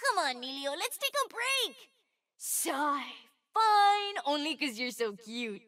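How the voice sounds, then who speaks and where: A woman playing an eager, sweet, boastful twelve-year-old boy fire mage in a video game, with an excitable, earnest, childlike manner. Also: high-pitched, takes it slowly, distant mic, heavily acted. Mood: earnest, warm. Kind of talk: video game character voice lines.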